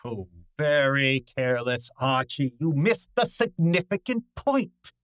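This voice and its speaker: A man's voice: medium pitch, sing-song, measured pace, a bit bright, dry recording, studio quality. A man playing a large, pompous, irritable genius detective in an old time radio style drama, speaking formally and with exasperation. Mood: stern, imperious. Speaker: actor in an audio detective drama.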